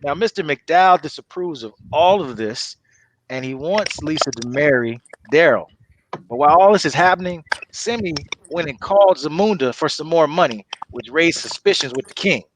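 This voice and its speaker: A man's voice: fairly high pitch, animated, normal speed. A man reading stage directions as the narrator of a comedy script table read. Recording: clearly noisy recording with clicks.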